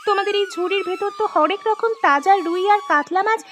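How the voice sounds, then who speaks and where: A woman voicing an anxious, earnest young married village woman in an animated folk tale. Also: very high-pitched, fast. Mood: surprised. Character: anxious young married village sisters, devoted to their husbands.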